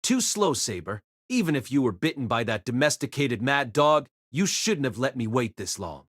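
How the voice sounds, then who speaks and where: A man's voice: mid-range voice, big pitch swings, moderate pace, a bit bright, dry recording, clean studio sound. A man playing an arrogant, mocking warrior spirit king in a dramatic audio drama, haughty and taunting. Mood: impatient scorn.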